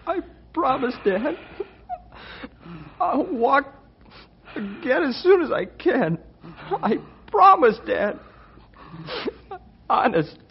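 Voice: sits high, big pitch swings, takes it slowly, creaky, long pauses, slightly rough, exaggerated voice. A performer playing an earnest, devoted young boy in a radio drama. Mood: tearful, pleading. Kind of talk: old-time radio drama acting. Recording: dull old radio recording, faint noise.

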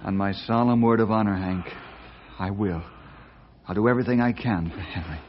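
An actor playing a steady, kindly ranger who tells stories in a radio drama, with a husky voice. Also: male voice, lowish voice, normal speed, a little hiss or hum, close to an everyday voice. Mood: solemn, gentle. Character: steady, kindly cowboy ranger, storyteller and family friend. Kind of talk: old-time radio drama acting and narration. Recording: dull lo-fi old radio recording.

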